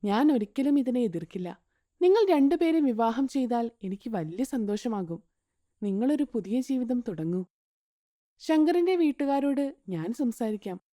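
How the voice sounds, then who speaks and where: A woman's voice: a little high, expressive, moderate pace, warm tone, dry recording, clean studio sound, natural voice. A woman doing a motherly older woman. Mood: warm. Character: worried, kindly mother of a grown daughter.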